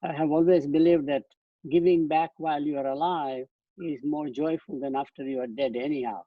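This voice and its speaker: A man's voice: on the high side, fairly even intonation, normal speed, no room sound. A man in his eighties with an Indian accent, giving a webinar lecture from slides in loose, rambling sentences with frequent restarts, over a video call. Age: 80s. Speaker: guest speaker. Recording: dull dry webcast audio.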